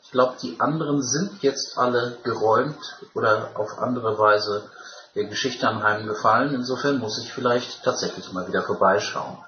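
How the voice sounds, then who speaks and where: A man from Hamburg, an activist, introducing himself in a relaxed, joking way over an online voice chat. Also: medium pitch, moderate pace. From Hamburg, Germany. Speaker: activist, participant. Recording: echoey, muffled, noisy online voice chat.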